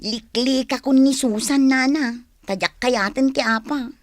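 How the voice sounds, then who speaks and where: An actress playing a meek, apologetic neighbour in a comic radio drama, speaking mildly and hesitantly with a Filipino accent. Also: female voice, sits high, measured pace, no room sound, clean studio sound. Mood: mild, pleading. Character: meek, apologetic woman neighbour who shuns quarrels.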